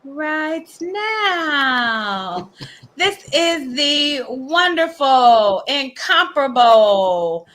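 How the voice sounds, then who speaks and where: Bright high-voiced woman in her forties. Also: very animated, drawn-out words. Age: 40s. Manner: warm, chatty, laughs often. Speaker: co-host. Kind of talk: casual chat.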